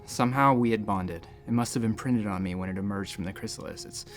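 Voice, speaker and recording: A man's voice: on the low side, quick pace, soft background music, natural voice. A man playing a nervous space-station doctor who talks in a hesitant, rambling, self-deprecating way in a sci-fi audio drama. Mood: hushed wonder. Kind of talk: sci-fi audio drama acting, conversational first-person storytelling.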